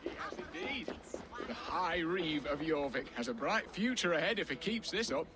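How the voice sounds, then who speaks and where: A approving medieval townsman in a video game. His voice is a little high and lively, nearly buried in loud outdoor ambience. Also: moderate pace, noisy background. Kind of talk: video game background character acting.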